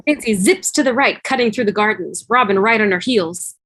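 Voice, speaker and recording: A woman's voice: medium pitch, fairly quick, fairly bright tone. A woman reading scripted stage directions as narrator of a fan table read over a video call. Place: remote video call, music behind.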